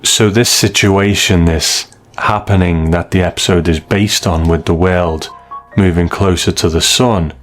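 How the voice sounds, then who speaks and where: A man's voice: sits low, fairly even intonation, unhurried, fairly bright tone. A man hosting a reflective, opinionated commentary on classic television in a conversational style. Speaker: host reviewing a classic TV episode. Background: faint music.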